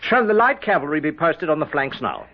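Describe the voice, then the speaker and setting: A fussy, anxious British army aide in an old radio drama, husky and lively in pitch. He is formal, hesitant and deferential to his superior. The 1970s recording is dull and lo-fi. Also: fairly quick. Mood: urgent, deferential.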